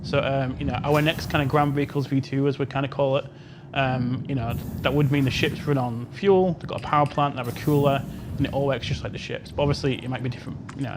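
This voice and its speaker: A man's voice: medium pitch, background noise. A man explaining technical work casually as a developer on a panel, padding it with "you know". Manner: frequent "you know" asides.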